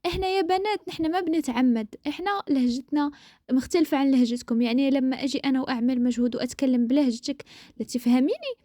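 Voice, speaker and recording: A woman from the Maghreb chatting casually as a co-host, opinionated and quick to laugh. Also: high voice, mellow, no echo, studio quality. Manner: speaks in a levelled dialect mix, not her own. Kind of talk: casual chat.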